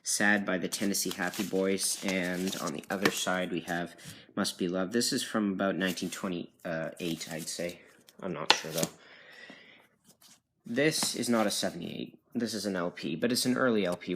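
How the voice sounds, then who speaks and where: Male hobbyist collector, low register, hesitant off-the-cuff delivery, slightly noisy handheld phone sound. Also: moderate pace. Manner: hesitant, unsure, self-correcting. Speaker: record collector showing his collection. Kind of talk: casual rambling show-and-tell. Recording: noisy amateur phone video with clicks.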